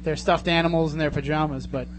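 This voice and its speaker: A man's voice: a little high, steady tone, fast. A man hosting a casual live radio talk show, bantering and joking as he goes. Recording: noisy live radio broadcast with hum. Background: clear noise and hum.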